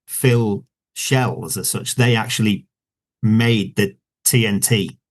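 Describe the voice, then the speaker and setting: A man's voice: lowish voice, slow. A man with a Yorkshire accent, an author as a guest, talking in a rambling, casual way with many fillers and restarts. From Yorkshire, England. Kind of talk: casual interview chat.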